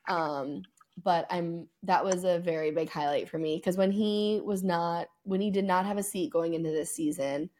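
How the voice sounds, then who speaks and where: A woman with a somewhat low voice talks as a podcast co-host. Her delivery is casual and conversational, with emphatic, exaggerated phrasing and openly opinionated turns. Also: moderate pace, a little mellow. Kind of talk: casual sports chat.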